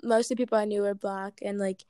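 A woman's voice: mid-range voice, flat delivery, quick pace, warm tone, dry recording, clean studio sound. A woman from Ghana with an American accent, answering interview questions casually with many "like" and "um" fillers.

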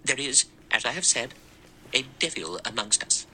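A man's voice: medium pitch, expressive, moderate pace, pushed and bright, a little hiss or hum, pitched above the performer's own voice, low-quality recording. A man voicing an elderly stern spinster with a cold, prim, clipped delivery in a raised voice.

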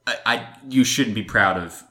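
A man's voice: on the low side, moderate pace, bright, forceful tone. A man hosting a sports podcast, chatting casually and teasing his co-host.

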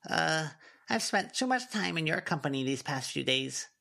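A man's voice: fairly high pitch, very animated, moderate pace, gravelly, bright, forceful tone, pitched above the performer's own voice, full character voice. A man voicing a small young female animal companion who talks in a blunt, muttering, contrary way in a story reading. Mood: blunt, grumbling.

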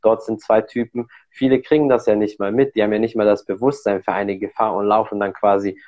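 A man hosting a podcast, explaining things in a calm, casual way. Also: lowish voice, a little fast. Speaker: podcast host. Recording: dull lo-fi audio.

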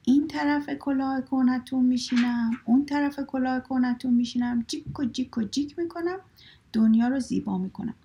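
A woman voicing a cheeky, noisy little sparrow that sings its rhymes in a sing-song chant, in a breathy, husky voice. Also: high voice, warm tone, voice pushed up. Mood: playful sing-song. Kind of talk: storybook reading.

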